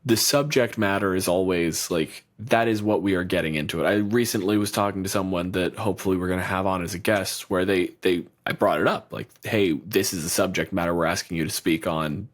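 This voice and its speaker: A man's voice: sits low, steady tone, brisk, hardly any pauses. A man co-hosting a podcast, chatting casually in a loose, profane, rambling way with frequent restarts. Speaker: podcast co-host. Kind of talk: casual chat.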